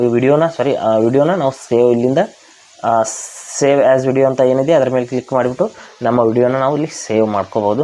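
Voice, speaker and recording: Indian male tutorial host, quick pace, medium pitch, muffled lo-fi recording, step-by-step explaining. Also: mellow. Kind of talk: step-by-step app tutorial narration. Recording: dull, lo-fi voice recording.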